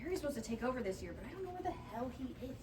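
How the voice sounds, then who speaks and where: A woman's voice: high voice, sing-song, very fast, darkish tone. A woman playing a blunt, dryly defiant widowed mother and football fan in a live comedy table read. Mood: indignant. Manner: dry, unyielding. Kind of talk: live comedy script reading. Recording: distant mic, noisy live room.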